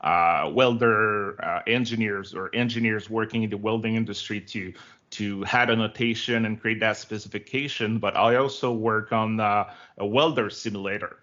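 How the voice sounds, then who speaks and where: A man's voice: fairly low pitch, fairly even intonation, moderate pace, bright, forceful tone. French-accented man chatting over a call. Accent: Québécois French. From Quebec, Canada. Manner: friendly and talkative, with many fillers and restarts. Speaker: guest. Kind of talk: casual interview chat. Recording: lo-fi online call audio.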